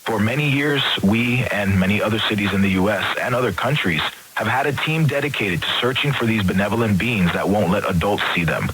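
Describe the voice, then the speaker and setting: A stern leader of a group of bear hunters makes grim declarations, as a man plays him. His voice sits low. A faint hiss runs under it. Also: fairly bright tone, close to an everyday voice, rough recording. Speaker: leader of a creature-hunting group.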